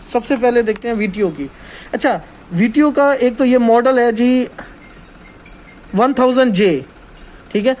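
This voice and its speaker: A man's voice: very high-pitched, measured pace, pauses often, darkish tone. A man giving an informal hands-on technical lesson as a trainer, explaining step by step and often checking that listeners follow.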